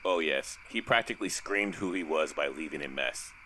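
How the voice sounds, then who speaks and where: An actor playing a confident, wry, good-hearted superhero in an audio drama, speaking conversationally. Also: male voice, a bass voice, lively intonation, normal speed, pushed and bright, a little hiss or hum, full character voice. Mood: measured.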